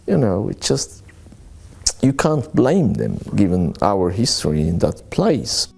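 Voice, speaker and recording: A middle-aged man answers interview questions in a reflective, halting way, often restarting his sentences. His voice is gravelly with a dark, mellow tone. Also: on the low side, sing-song. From born in Aleppo, Syria. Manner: hesitant, many fillers and restarts. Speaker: journalist interviewee.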